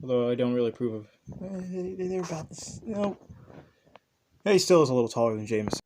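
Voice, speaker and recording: Male hobby reviewer, mid-pitched, casual and hesitant, rambling delivery, faint background noise. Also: expressive, fast, darkish tone. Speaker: toy reviewer, channel host. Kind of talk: casual unscripted review commentary.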